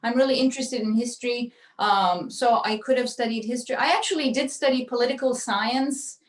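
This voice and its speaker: A woman's voice: on the high side, a little fast, echoey room, recorded from a distance. A woman whose first language is Turkish, an oboist talking chattily in an online interview. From Turkey. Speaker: principal oboist.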